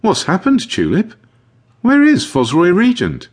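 A friendly young woman kennel hand in an audiobook, voiced by a man, pitched high above a normal male register. The tone is caring and attentive, with a lively rise and fall. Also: measured pace, slightly rough, heavily acted. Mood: concerned. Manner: friendly, concerned. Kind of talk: audiobook character voice.

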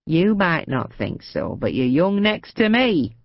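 A woman's voice: on the low side, sing-song, a little slow, no echo, lo-fi sound, heavily acted. A woman doing a frail, cheeky old lady. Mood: mischievous. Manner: gossipy, cheeky, confiding. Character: frail chatty old northern woman, mischievous.

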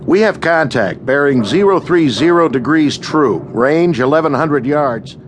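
An actor playing an attentive, crisp navy sonar officer in a radio drama. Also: male voice, a little high, animated, unhurried, a little hiss or hum. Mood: focused, puzzled. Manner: clipped military reporting.

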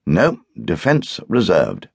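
A man's voice: low-pitched, wildly swooping pitch, slow pace, vocal fry, breathy edge, dry recording, voice pushed up, exaggerated voice. A man voicing a laconic, absent-minded English husband in an audiobook, with a dry, curt, humorous manner.

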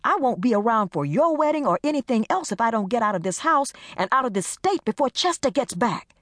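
A woman voicing a panicked young woman who pleads and demands in a frantic, shrill voice. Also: sing-song, brisk, a bit bright, no echo, studio quality. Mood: frantic pleading.

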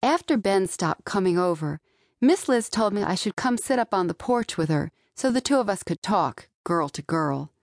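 A woman's voice: mid-range voice, lively intonation, normal speed, dry recording, studio quality. A woman reading scripted first-person narration from a young-adult novel as an audiobook narrator. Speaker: author narrating own audiobook. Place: dry studio.

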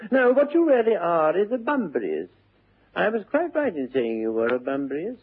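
A witty young English gentleman of leisure, teasing and mock-serious, with upper-class vowels. The voice is slightly high and husky, rising and falling theatrically. It comes through a muffled vintage radio recording. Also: huge pitch swings, normal speed, exaggerated voice. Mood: knowing, amused. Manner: arch, mock-serious, precisely argumentative.

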